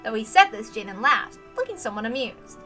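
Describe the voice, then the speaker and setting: Woman narrator, high clear-edged bright voice, measured, deliberate, dramatic story reading, faint music behind. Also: very animated. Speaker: narrator, reader.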